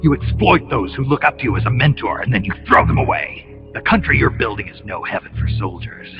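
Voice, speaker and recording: Gravelly american soldier hero, gruff n defiant, swings around in pitch, loud music under it. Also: male voice, very animated, brisk. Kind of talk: dramatic video game cutscene dialogue. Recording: muffled game audio under loud music.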